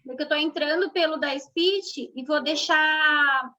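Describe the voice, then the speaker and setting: A woman co-hosting an online chat, lively and teasing as she sets up her phone. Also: sits high, a little slow. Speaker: co-host. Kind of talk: casual chat. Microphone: phone on a live stream.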